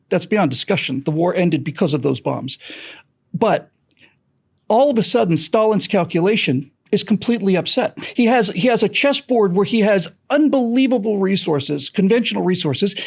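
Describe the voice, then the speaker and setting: High-pitched, creaky-voiced American man. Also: darkish tone. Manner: emphatic, dramatic storytelling with self-corrections. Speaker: podcast creator being interviewed. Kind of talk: animated explanatory interview talk.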